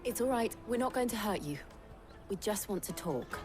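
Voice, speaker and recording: An actress playing a composed, determined and gently kind woman adventurer in a fantasy video game. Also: mid-range voice, animated, normal speed, faint music behind, close to an everyday voice. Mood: gentle. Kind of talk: dramatic video game acting.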